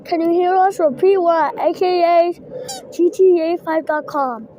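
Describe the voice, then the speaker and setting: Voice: very high-pitched, moderate pace, dark tone, slight background noise. A child hosting a casual amateur video, talking to camera and companion in a bossy, repetitive way.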